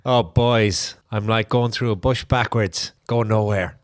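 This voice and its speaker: A man's voice: fairly low pitch, fairly even intonation, measured pace, clean tone, no room sound, studio quality. A man with an Irish accent, co-hosting a casual cycling chat with a chatty, self-mocking manner. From Ireland. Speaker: podcast co-host.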